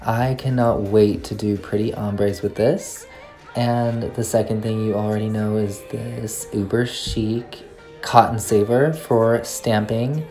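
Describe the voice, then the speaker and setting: A woman vlogging her shopping trip and haul, gushing over everything she picked up. She talks into a handheld phone camera in a store and later at her desk at home. Faint music plays softly underneath. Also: very deep voice, fairly even intonation, slow. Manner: gushing, enthusiastic. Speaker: nail art YouTuber. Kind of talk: casual shopping haul vlog. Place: nail supply store, then home desk, indoors.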